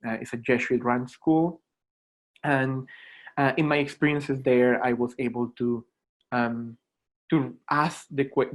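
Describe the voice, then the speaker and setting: A man in his thirties from Colombia, a native Spanish speaker with a Colombian accent, introducing himself to students as a lecturer in a reflective, halting way. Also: mid-range voice, rough recording. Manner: reflective, halting, with repeated words. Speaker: adjunct philosophy lecturer. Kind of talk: informal self-introduction to students.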